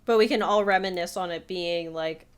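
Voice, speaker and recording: A woman's voice: fairly low pitch, moderate pace, smooth. Wry woman in casual podcast chat. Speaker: podcast co-host. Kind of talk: casual chat, paraphrasing notes.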